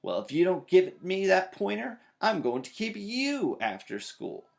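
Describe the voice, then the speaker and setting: Man acting a high-pitched, indignant little girl bear, Sister. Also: huge pitch swings, unhurried, vocal fry, exaggerated voice. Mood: angry. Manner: shouty, insistent.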